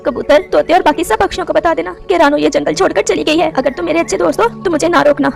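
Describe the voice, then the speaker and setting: A woman's voice: very high voice, fairly even intonation, fast, gravelly, faint music behind, exaggerated voice. A woman playing a grieving mother sparrow in a melodramatic cartoon story, plaintive and lamenting. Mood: sorrowful, resolved. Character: grieving mother sparrow, heartbroken, mourning her dead child.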